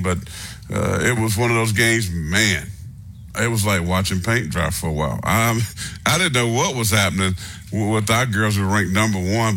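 Deep-voiced stuffy-nosed radio host. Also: male voice. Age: middle-aged. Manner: relaxed, rambling, many fillers. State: congested, a little nasal. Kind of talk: casual radio talk.

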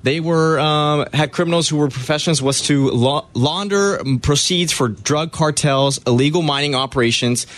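A man's voice: fairly high pitch, moderate pace, hardly any pauses, fairly bright tone, dry recording. A man co-hosting a sports radio show, reading out news stories and stumbling over words now and then.

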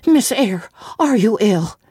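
A woman's voice: medium pitch, animated, slow, mellow, slightly rough, no echo, studio quality, voice pushed up. A woman voicing an anxious young nursemaid who asks urgent, raised questions.

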